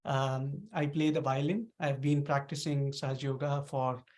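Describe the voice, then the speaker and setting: A man's voice: medium pitch, fairly even intonation, moderate pace, a little mellow. A man, a musician, casually introducing himself on a video call through a laptop microphone. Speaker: musician, violinist.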